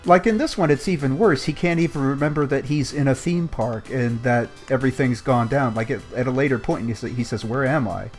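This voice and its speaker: A man with an average-pitched voice discusses a story as a podcast co-host. His delivery is casual and analytical, and soft music sits behind him. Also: fairly quick, hardly any pauses.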